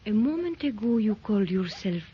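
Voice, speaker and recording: Actress as an evasive young woman, breathy and hesitant, faint hiss on lo-fi old radio. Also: mid-range voice, sing-song, normal speed, close to an everyday voice. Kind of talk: 1930s radio drama acting. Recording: dull lo-fi 1930s radio recording with faint hiss.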